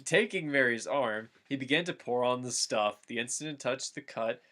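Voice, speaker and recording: A young adult man doing a casual, comedic dramatic reading, halting and stumbling over names as he goes. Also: medium pitch, bright, forceful tone, slight background noise. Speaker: amateur story reader.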